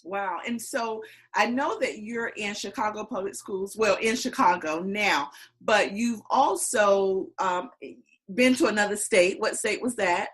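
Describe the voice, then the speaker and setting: A woman's voice: medium pitch, unhurried, a bit bright. A woman hosting a podcast interview, asking questions and encouraging her guest. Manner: encouraging, affirming.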